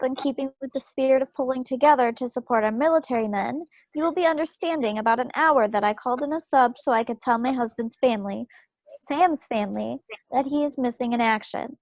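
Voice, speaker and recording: A woman performs a proud young 1940s depot operator with a high, closely recorded voice. She argues her case in formal, firm sentences, as in a stage play read over a video call. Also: no room sound, low-quality recording. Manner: formal, firm, articulate self-defence. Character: proud, indignant young wartime wife, railroad depot telegraph operator. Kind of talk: one-act play table read.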